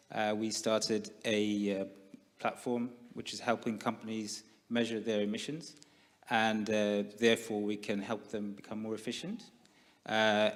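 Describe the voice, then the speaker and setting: A Swedish-born man with a British-English accent, a startup founder on a conference panel, friendly and hesitant, with many fillers. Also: lowish voice. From Sweden. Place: large conference hall, stage. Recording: long hall reverb.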